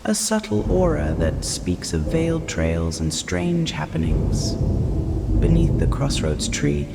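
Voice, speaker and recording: A man giving atmospheric, scripted gothic narration in a measured, dramatic, solemn manner. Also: on the high side, wildly swooping pitch, vocal fry, heavy background noise. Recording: long reverb, loud music behind.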